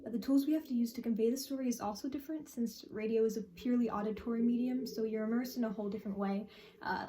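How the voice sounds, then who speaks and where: Soft-toned, high-voiced teenage girl. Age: teens. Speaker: student cast or crew member. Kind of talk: explanatory interview answer. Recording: distant mic, faint noise.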